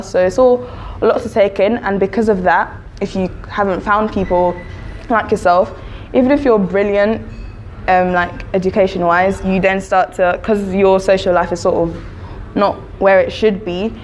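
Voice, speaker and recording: A woman's voice: on the low side, darkish tone, lo-fi sound. London girl in her twenties, thoughtful chatty answers, faint hiss behind. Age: 20s. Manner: thoughtful, hedging with fillers. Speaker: student interviewee. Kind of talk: casual interview answers.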